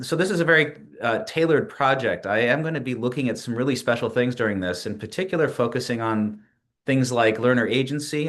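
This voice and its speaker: A man's voice: medium pitch, a bit bright. Man in his forties presenting. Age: 40s. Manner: measured, with frequent "uh" and restarts. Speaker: PhD student presenting a project. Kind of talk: presentation narration over screen share.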